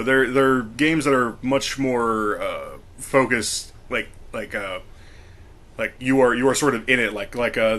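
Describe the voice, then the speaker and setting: A man's voice: medium pitch, bright, forceful tone. A man co-hosting a podcast in casual group discussion, talking in a rambling, hesitant way. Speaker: podcast co-host.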